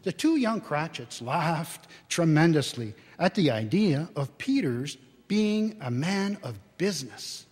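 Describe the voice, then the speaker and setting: A man giving a theatrical, expressive live storybook narration in a church. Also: fairly high pitch, measured pace, breathy edge. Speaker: dramatic reader. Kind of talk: scripted storybook narration before an audience. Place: inside a church, live reading. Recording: church hall with long reverb.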